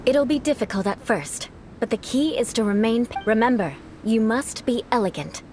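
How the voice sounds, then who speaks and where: Game role, proud young female aristocrat knight, acted by a woman: composed, refined diction, fairly high voice. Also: lively intonation, moderate pace, noisy background, lightly acted. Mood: instructing. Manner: poised, formal, haughty elegance. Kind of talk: video game character acting.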